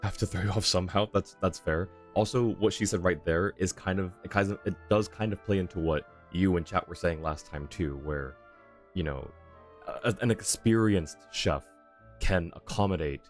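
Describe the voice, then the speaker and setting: Deep-voiced male game streamer. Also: moderate pace, faint music behind. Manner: rambling, conversational. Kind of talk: casual live stream commentary.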